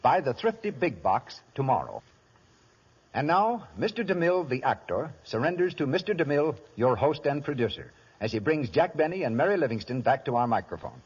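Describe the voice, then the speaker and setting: An American man reads scripted announcements in classic old radio style. His voice is husky and lively in intonation, heard through a dull, vintage broadcast recording. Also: mid-range voice, fairly quick, long reverb tail. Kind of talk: 1930s radio announcing and sponsor ad.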